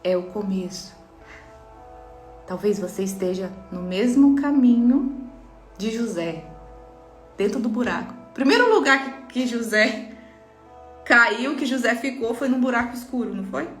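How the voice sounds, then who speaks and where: A woman's voice: a little high, expressive, measured pace, long pauses. A woman with a Brazilian Portuguese accent, a mentor giving an inspirational faith talk in an earnest, emphatic way, speaking straight to her listeners. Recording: echoey room with faint music.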